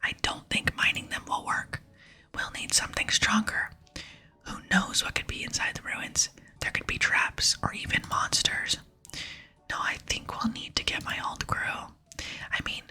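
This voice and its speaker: A woman voicing a boastful, scheming female archaeologist from a game in a breathy whisper. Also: mid-range voice, sharp tone, voice pushed up. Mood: scheming, guarded. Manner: self-important, brisk. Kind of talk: whispered ASMR reading of game dialogue.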